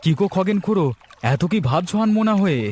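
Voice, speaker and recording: A man's voice: high-pitched, animated, fast, darkish tone, slight background noise. Earnest young village fisherman. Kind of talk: cartoon voice acting.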